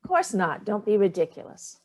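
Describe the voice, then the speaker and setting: A woman's voice: mid-range voice, very animated, normal speed, darkish tone. An older woman playing a haughty, evasive elderly mother in a staged play reading, dismissive and theatrical with barbed remarks. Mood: breezy.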